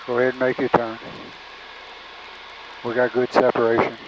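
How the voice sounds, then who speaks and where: A man's voice: medium pitch, completely flat delivery, moderate pace. A man coaching a pilot from inside a small aircraft cockpit, casual, encouraging and reassuring. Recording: muffled audio, loud cockpit noise.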